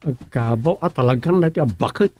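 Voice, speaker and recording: A man's voice: mid-range voice, lively intonation, brisk, loud music behind the voice, lightly acted. An actor playing an earnest, put-upon younger village man in a rural comedy radio drama, plaintive and conversational. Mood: protesting.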